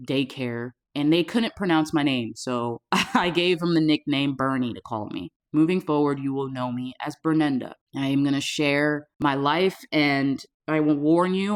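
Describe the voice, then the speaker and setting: A woman in her thirties from South Florida, a safety coach telling her personal story to the camera in an earnest, deliberate way and slowing down to stress key words. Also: a bass voice. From South Florida, USA.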